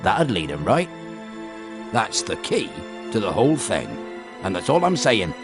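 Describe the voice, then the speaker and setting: A man playing a big, soft-hearted groundskeeper in a game, gruff, blustering and folksy. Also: lowish voice, very animated, unhurried, over music. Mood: hinting.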